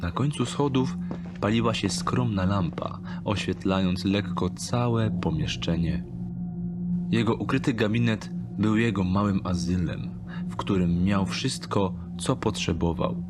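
A man narrating a dark fantasy thriller audiobook in a measured, deliberate storytelling manner. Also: lowish voice, over loud music. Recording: strong ambient sound behind the voice.

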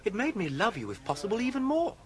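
An actor playing an urbane, unflappable young English gentleman in a radio comedy, speaking in a florid, mock-formal manner. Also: high voice, wildly swooping pitch, fast talker, breathy edge, full character voice. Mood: ardent, florid.

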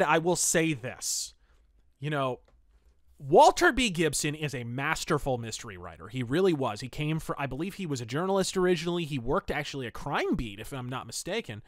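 A man's voice: a little high, very animated, moderate pace, a bit bright, dry recording, studio quality. A man hosting a review podcast, talking casually and enthusiastically with emphatic, colloquial storytelling. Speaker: host of a pulp fiction review podcast.

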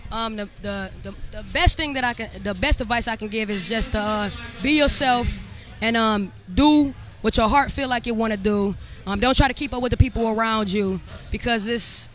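A woman's voice: fairly high pitch, moderate pace, faint music behind. A woman in her twenties from Detroit, a barber talking confidently and casually about her hair products. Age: 20s. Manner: upbeat, confident, slangy. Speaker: barber, interview guest. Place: event backdrop at a barber competition. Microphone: handheld mic.

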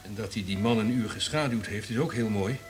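An actor playing a wary, calculating subordinate in a radio play, deferential but insistent. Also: male voice, lowish voice, a little fast, faint music behind, natural voice.

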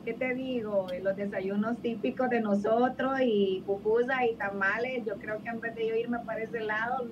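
A woman's voice: on the high side, steady tone, normal speed, few pauses, muffled recording, noisy background. A middle-aged woman from El Salvador with a Salvadoran accent, a talkative guest on a video-call interview who laughs easily. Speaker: guest, financial advisor. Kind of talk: interview conversation. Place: office. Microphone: video call webcam.

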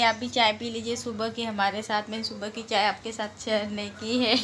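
A middle-aged woman talks to her phone camera as she walks through her home and courtyard. Her high voice is homely and chatty, stumbling over fillers and restarts, with faint noise in the background. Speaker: home vlogger. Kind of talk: casual vlog narration. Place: home and courtyard, indoors and outdoors.

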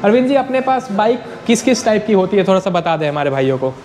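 A man's voice: high voice, fairly even intonation, quick pace, a little mellow. A young man hosting a casual vlog walkthrough in an indoor showroom, chatty and repeating the dealer's answers for his viewers, on a lapel mic. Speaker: vlogger host. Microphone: clip-on lapel mic.

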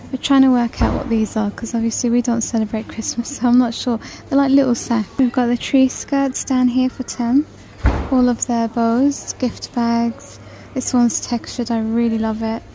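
A woman's voice: a little high, mellow, slight background noise, low-quality recording. A woman vlogging in a large store aisle, chatting casually and reading out prices as she browses. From United Kingdom. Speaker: shopping vlogger. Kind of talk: casual shop-with-me narration. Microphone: handheld phone camera.

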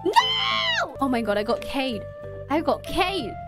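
A woman streamer rambling quickly and flustered, repeating herself as she scrambles to explain. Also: high voice, huge pitch swings, over loud music. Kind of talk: fast panicked streamer chatter.

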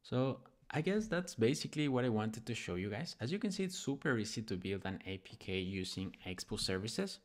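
Guy with a lowish voice walking thru a coding tutorial, informal, kinda thinking out loud. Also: very animated, fairly quick, hardly any pauses. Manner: informal, thinking aloud as he demonstrates. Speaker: programming tutorial host. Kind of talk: casual screen-recording software tutorial.

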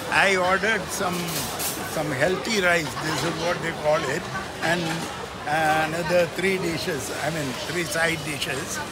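Man, from India, hesitant, self-correcting, slightly high voice. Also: steady tone, a little slow, very noisy. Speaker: food court diner. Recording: loud background music.

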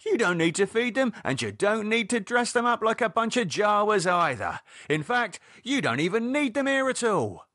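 An exasperated British man, acted out by a male narrator in an audiobook story, mocking and practical. His voice jumps high and swoops freely, recorded close and dry. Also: big pitch swings, normal speed, hardly any pauses, a bit bright, dry recording, full character voice. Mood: irritated. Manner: dismissive, mocking, exasperated, colloquial. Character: irritated, sarcastic man, a practical no-nonsense sceptic. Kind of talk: audiobook character dialogue.

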